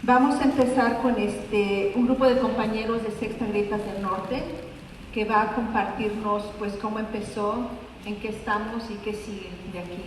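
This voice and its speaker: A woman hosting a community gathering, welcoming the audience in excited, upbeat remarks. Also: medium pitch, fairly quick. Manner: excited, upbeat, addresses the crowd. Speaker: event host. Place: large indoor event hall. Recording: echoey hall, mic far off, room noise.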